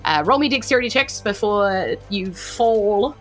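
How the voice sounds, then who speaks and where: A woman narrating a tabletop game as game master in an informal, animated storytelling way. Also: medium pitch, unhurried, soft background music.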